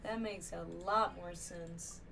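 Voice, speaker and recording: A woman's voice: on the low side, steady tone, slow pace, bright, forceful tone. Woman game streamer on a distant mic. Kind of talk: casual game stream commentary and reading dialogue aloud. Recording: distant mic, faint background noise.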